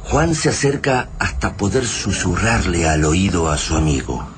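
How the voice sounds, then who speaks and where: A man's voice: low-pitched, expressive, moderate pace, background noise. A man delivering scripted narration for a radio drama. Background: music.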